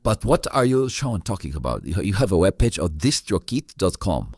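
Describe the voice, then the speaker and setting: A man hosting a casual interview, halting as he interjects with questions and ideas. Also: deep voice, sing-song, darkish tone, husky, dry recording, clean studio sound. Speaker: podcast host.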